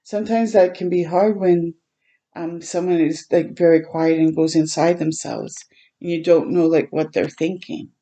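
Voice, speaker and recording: A woman hosting a storytime for children, reading a picture book aloud in a gentle way and pausing to chat and explain simply. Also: lowish voice, steady tone. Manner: gentle, simple explaining to young listeners, with pauses to comment.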